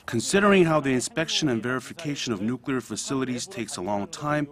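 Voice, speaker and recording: A man speaking as an interviewed expert, explaining in an analytical, even manner. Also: mid-range voice, fast talker. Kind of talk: news interview soundbite.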